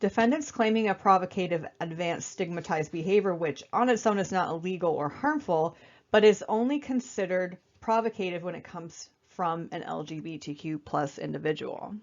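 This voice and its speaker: A young woman with a slightly raspy voice while getting over an illness, giving a casual, conversational slideshow presentation with many fillers. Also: lowish voice, normal speed, dull audio. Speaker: student presenter.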